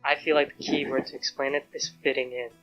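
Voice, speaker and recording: A man's voice: medium pitch, level tone, quick pace, muffled recording, music in the background. A man reflecting and explaining his experiences in a personal interview.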